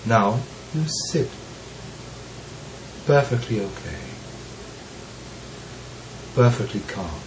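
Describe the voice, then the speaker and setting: Slow-spoken man recovering from bronchitis. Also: on the low side, big pitch swings, a little hiss or hum, rough recording. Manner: slow, pausing, gentle with soft laughs. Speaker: Zen teacher. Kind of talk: slow reflective teaching talk.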